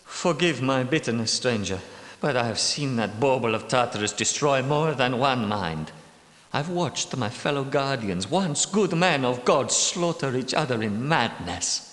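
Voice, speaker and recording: Brief: a secretive, centuries-old knight, weary and bitter, middle pitch, long echo. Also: male voice, animated, fairly bright tone. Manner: world-weary, bitter, measured. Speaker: game character. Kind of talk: game character voice lines. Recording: echoey reverberant room.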